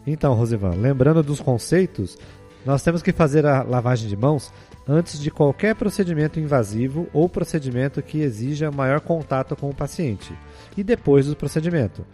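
A man's voice: medium pitch, animated, normal speed, dark tone. A man, a professor and podcast co-host, narrating and explaining in an educational manner. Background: music.

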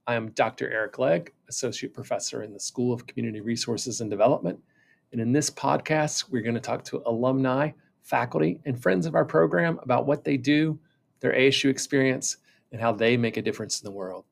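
A man's voice: medium pitch, brisk, creaky. A man hosting an interview podcast, chatting casually in a friendly way that often stumbles over repeated words. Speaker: podcast host, professor.

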